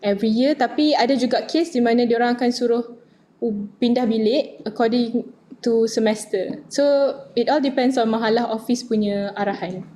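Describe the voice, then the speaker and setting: A woman's voice: a little high, brisk, warm tone, a little hiss or hum. A young Malaysian woman with a Malaysian accent, answering student questions in a casual online session, switching between Malay and English. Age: young adult. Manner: mixes Malay and English, reads questions aloud then answers.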